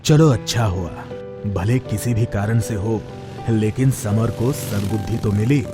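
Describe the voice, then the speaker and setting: Low-pitched man narrating a story. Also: unhurried, over music. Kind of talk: scripted moral-story narration.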